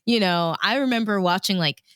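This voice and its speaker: An American woman telling stories in a casual, conversational way, informal and animated, as a radio DJ being interviewed. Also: medium pitch, a little fast, clear, resonant tone, no room sound, studio quality.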